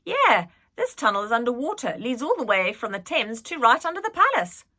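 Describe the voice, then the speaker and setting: Woman doing a high, scrappy little urchin girl. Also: very animated, fairly quick, bright, forceful tone, full character voice. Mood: cheeky, defiant. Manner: cheeky, blunt, uneducated speech. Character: scrappy, ragged, defiant little street girl.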